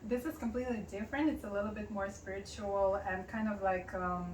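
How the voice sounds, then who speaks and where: A woman's voice: medium pitch, fairly even intonation, brisk. Russian-native woman guest, casual and chatty, recorded off-mic in a roomy home. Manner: chatty, explaining with many fillers. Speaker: interview guest, poet and artist. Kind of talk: casual interview. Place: home interior. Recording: roomy, distant mic, faint hiss.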